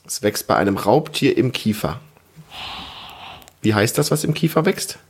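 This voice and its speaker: A man's voice: on the low side, lively intonation, normal speed, slightly rough. A man hosting a casual family podcast, chatting in a patient, encouraging way and hesitating as he explains. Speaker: podcast host.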